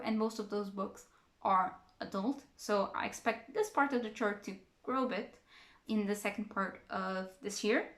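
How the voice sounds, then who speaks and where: A woman's voice: on the high side, fairly bright tone. Young portuguese-native woman vlogging about books, chatty, lots of ums, echoey room. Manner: chatty, thinking aloud with fillers and restarts. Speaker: book vlogger. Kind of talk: casual reading-stats chat to camera.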